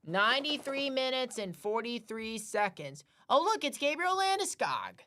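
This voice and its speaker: A woman's voice: high voice, sing-song, moderate pace. A woman giving short, reserved answers in a casual chat while watching hockey. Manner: short replies, quietly reserved. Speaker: co-host.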